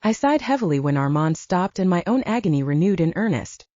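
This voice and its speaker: A woman narrating a first-person fantasy audiobook in a steady storytelling manner. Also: on the low side, fairly quick, no echo. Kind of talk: scripted narration. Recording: dull dry lo-fi audio.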